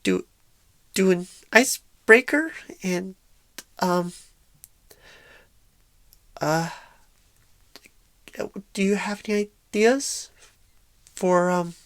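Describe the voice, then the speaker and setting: Woman acting as a painfully shy, slow-talking nervous recruiter. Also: lowish voice, no room sound. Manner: bashful, halting, stammering, awkward and unsure. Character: painfully shy, nervous, inexperienced job recruiter on first day. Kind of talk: soft-spoken ASMR roleplay.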